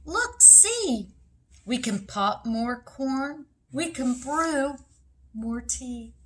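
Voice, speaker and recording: A woman voicing a small storybook mouse, squeaky and coaxing, eager to soothe and comfort. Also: expressive, drawn-out words, full character voice. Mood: soothing, eager.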